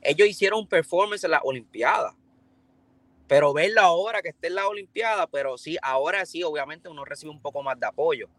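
A man's voice: fairly high pitch, fairly quick, fairly bright tone, no echo. A Puerto Rican man, a breakdancer guest, speaking frankly and informally in a casual interview. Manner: frank, informal street talk.

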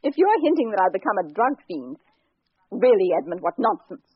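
A woman's voice: medium pitch, very animated, a little fast, breathy edge, no echo. A woman acting in a 1940s radio drama as an imperious, nagging, ailing wife who speaks in clipped demands. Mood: haughty, irritable. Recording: dull 1940s radio recording.

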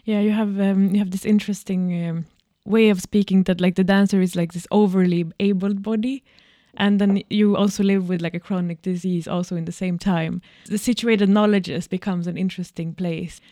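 A woman's voice: mid-range voice, fairly even intonation, moderate pace, warm tone, no room sound, clean studio sound. A woman, a choreographer chatting casually with lots of "like"s. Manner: casual, loose, many "like"s. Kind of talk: casual conversation.

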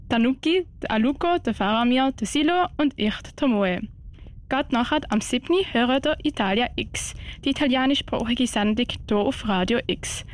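A woman hosts a radio show in a Swiss German accent. Her voice sits somewhat high, and she speaks as a presenter in a studio.

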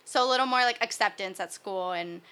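Bright-voiced young woman hosting a podcast. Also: medium pitch, brisk. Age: 20s. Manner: informal, chatty, frequent "like". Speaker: podcast host. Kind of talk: casual conversational interview.

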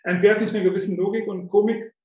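Fifties man, high voice, chatty and self-mocking storyteller with lots of filler sounds, recorded in a very reverberant room. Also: flat delivery, fast talker, darkish tone, highs cut off. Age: 50s. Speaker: guest, author coach. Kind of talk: casual interview storytelling. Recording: very echoey room.

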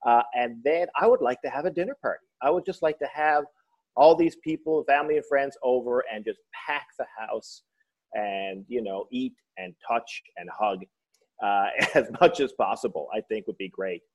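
A man chats as a guest, a comedian and author with a joking, storytelling way of talking. His pitch sits in an ordinary middle range. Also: normal speed, lo-fi sound. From Newfoundland. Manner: joking storyteller, slips into voices. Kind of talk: casual interview.

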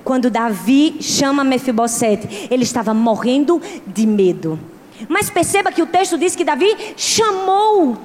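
A woman in her thirties with a strong regional accent preaches with fervent emphasis. Her voice sits high and rises to loud peaks before dropping to a near hush. A long reverb from a large hall trails each line. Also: big pitch swings, a little slow, slight background noise. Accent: Portuguese-speaking, with a strong regional accent. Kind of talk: sermon.

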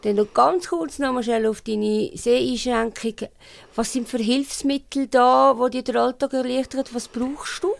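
A woman's voice: fairly high pitch, a little slow, vocal fry, dry recording. A woman with a Swiss German accent hosting a conversational interview. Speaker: radio interview host.

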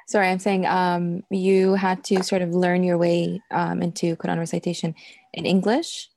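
A woman's voice: on the low side, flat delivery, moderate pace, vocal fry, a little mellow, dry recording, studio quality. A woman interviewing a guest, asking probing questions with hesitant ums along the way. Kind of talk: casual interview.

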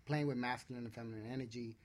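A man's voice: mid-range voice, steady tone, racing, a little hiss or hum. A man presenting casually as a co-host on a radio talk show.

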